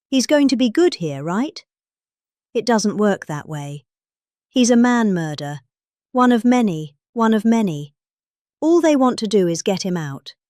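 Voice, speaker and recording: A woman's voice: mid-range voice, a little mellow, no echo, studio quality. A middle-aged woman with a British accent giving a dramatic reading of a political speech, with exaggerated emphases. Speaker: reader performing a politician's speech.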